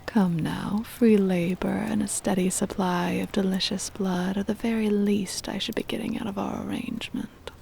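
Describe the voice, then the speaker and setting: A woman playing a possessive, doting centuries-old vampire mistress in an intimate, breathy whispered ASMR roleplay, coaxing and tender with a menacing edge. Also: medium pitch, fairly even intonation, darkish tone, a little hiss or hum. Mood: playful, possessive. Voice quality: breathy whisper with creaky edges. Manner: slow, coaxing, possessive endearments.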